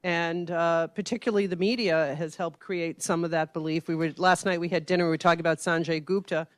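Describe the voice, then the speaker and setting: A woman hosting a grand rounds lecture, making announcements and thanks in a halting way, full of fillers. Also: lowish voice, steady tone, dry recording. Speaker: host of the grand rounds, division director. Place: lecture hall.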